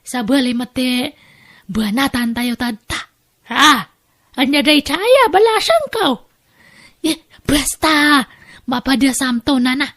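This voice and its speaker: A woman playing a pushy, money-minded friend in a family radio drama, coaxing and bossing with drawn-out words. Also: sits high, expressive, fairly bright tone, full character voice. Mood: pushy, teasing.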